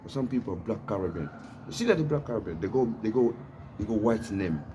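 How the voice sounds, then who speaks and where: A man's voice: lowish voice, expressive, fast. A man from Africa vlogging in a rambling monologue, repeating himself and often asking if you know what he means, smoking while he talks. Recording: noisy background hiss.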